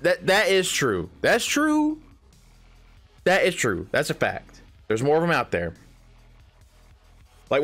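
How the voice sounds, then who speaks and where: A man's voice: fairly high pitch, fairly bright tone. A thirty-year-old man giving his opinions in a casual gaming vlog, rambling and emphatic, with restarts, slang and the odd swear word. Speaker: gaming video creator.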